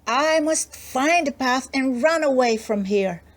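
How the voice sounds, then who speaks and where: Woman doing a little storybook girl, high sweet voice, excitable, slow and drawn out. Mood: childlike. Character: little girl, eager and easily distracted.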